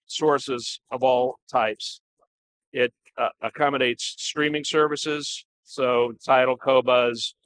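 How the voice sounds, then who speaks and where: A man giving an unscripted, explanatory product presentation in a measured, halting way, as a company representative. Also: medium pitch, fairly bright tone, rough recording.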